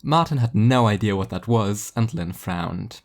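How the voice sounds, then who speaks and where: Male narrator, lowish pitch, scripted audiobook reading, fiction. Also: animated, a little slow, darkish tone.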